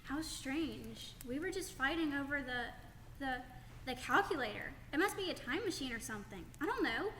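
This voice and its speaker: High-voiced, inquisitive schoolgirl. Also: expressive, normal speed, a bit bright. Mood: puzzled. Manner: questioning, emphatic, youthful. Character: inquisitive, earnest schoolgirl, bossy big sister. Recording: echoey hall, faint background noise.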